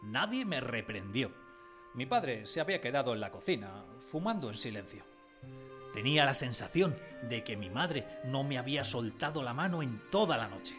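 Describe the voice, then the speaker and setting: A man playing a sensitive grown man who recalls his timid boyhood, in expressive, dramatised first-person storytelling. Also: mid-range voice, normal speed, close to an everyday voice. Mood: tender, calm. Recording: prominent music behind the voice.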